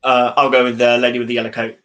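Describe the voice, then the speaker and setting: A man chats casually as a member of a workshop. His mid-pitched voice stays very even, with little rise or fall. He feels for his words a little as he goes. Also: rapid-fire. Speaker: workshop participant. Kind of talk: casual chat.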